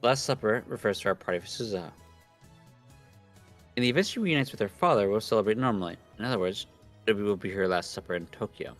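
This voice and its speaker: Seeking a man to act as a theatrical young mad scientist: low voice, grandiose, scheming, visual novel read-aloud with music behind. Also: expressive, fast talker, lightly acted. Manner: grandiose, declaiming.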